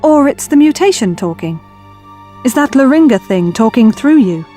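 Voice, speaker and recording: An anxious, determined young woman in an audio drama. Her voice is fairly high, her manner urgent, blunt and wry, with faint music behind. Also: big pitch swings, normal speed, warm tone.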